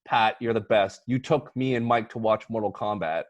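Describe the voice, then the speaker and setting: A man in his thirties hosting a casual movie podcast, talking in a halting, bantering way and teasing the others. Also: fairly low pitch, steady tone, no room sound. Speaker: podcast host.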